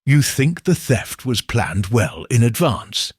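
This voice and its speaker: A man's voice: mid-range voice, big pitch swings, slow, breathy edge, no room sound, studio quality, heavily acted. A man voicing an earnest detective's sidekick in an audiobook mystery, puzzled and eagerly questioning.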